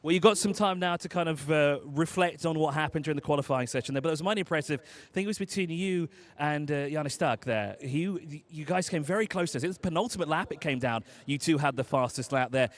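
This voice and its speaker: A man reporting live from an outdoor race pit lane, chatty and quick with joking asides. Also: a little high. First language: English.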